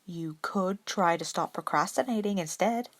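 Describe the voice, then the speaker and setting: A woman's voice: fairly low pitch, normal speed, no room sound, pitched above the performer's own voice, close to an everyday voice. A woman voicing a blunt, sardonic and protective man in a story reading, with a growling manner. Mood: innocent teasing. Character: gruff, sardonic, protective man with a foul mouth.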